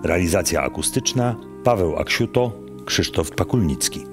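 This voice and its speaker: A man reading scripted radio credits as announcer, measured and formal. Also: sits low, animated, music in the background.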